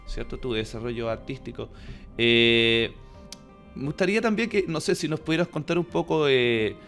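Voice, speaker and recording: A man's voice: medium pitch, a bit bright, soft background music. Chilean man hosting a casual interview. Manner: halting, rephrasing with frequent tag questions. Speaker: interviewer and host.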